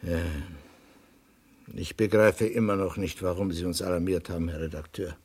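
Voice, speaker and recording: A man playing a grumpy, world-weary police inspector in a 1960s German radio play, with a gravelly, husky voice and curt manner. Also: sits low, fairly even intonation, normal speed, darkish tone. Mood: grumbling, sour. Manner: grumbling, curt.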